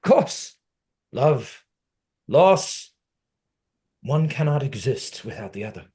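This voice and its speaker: A man acting a melancholy, dry-witted man who has been dead over a century, in a deliberate, brooding table-read delivery. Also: medium pitch, sing-song, mellow, husky, heavily acted. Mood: earnest, intense.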